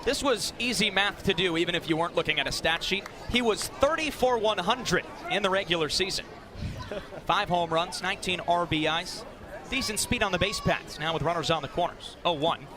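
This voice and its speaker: A young man calling live sports play-by-play for radio in crisp, clipped announcer phrasing. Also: high voice, normal speed, a crowd in the background. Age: young adult. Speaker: play-by-play broadcaster. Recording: live sports broadcast with venue background noise.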